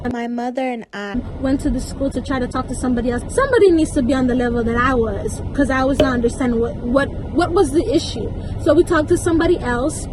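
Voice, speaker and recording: A young woman with a high-pitched voice tells a casual story, emphatic and indignant, slipping into slangy asides and acted-out dialogue. She is recorded with clear background noise, like outdoor or vehicle sound. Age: young adult. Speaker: vlogger. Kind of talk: casual storytime, acting out conversations.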